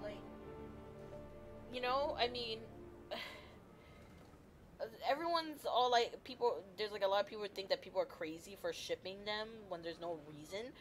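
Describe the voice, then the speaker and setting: A young woman streaming a game, gushing and rambling like an excited fangirl. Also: mid-range voice, unhurried, pauses often. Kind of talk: excited live game commentary. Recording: game music playing under the voice. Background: game music.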